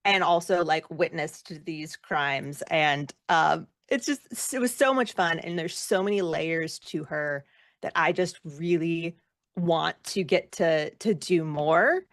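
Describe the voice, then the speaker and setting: A woman's voice: low-pitched, slow, dry recording, studio quality. A woman chatting casually as an interview guest, effusive and informal in the way she talks. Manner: effusive, informal, emphatic about loving the work. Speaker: guest, actor and former rock singer.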